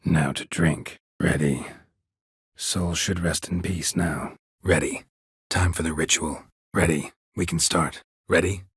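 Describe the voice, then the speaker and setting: A man voicing a gruff, world-weary monster hunter in a video game, with a husky rasp and terse, deadpan delivery. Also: low-pitched, slow, no room sound, clean studio sound, heavily acted. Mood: dry, brooding. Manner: terse, deadpan, clipped phrases. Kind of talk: video game character dialogue.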